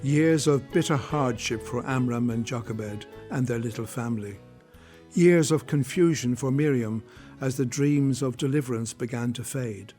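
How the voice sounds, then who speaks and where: A man reading scripted narration for a radio drama in a measured, solemn way. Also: mid-range voice. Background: soft music.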